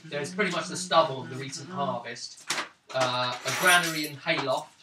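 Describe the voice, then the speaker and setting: A male game master narrates at a table in halting phrases. His mid-pitched voice sits back from the mic in an echoey room, with clear background noise. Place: indoors around a gaming table. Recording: roomy, distant mic, clearly noisy.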